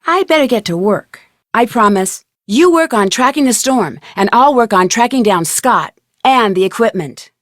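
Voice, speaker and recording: A woman playing an inquisitive, plucky young female amateur detective in a video game, speaking in an earnest, expressive way. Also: on the high side, moderate pace, dry recording, studio quality, close to an everyday voice. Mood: determined, earnest.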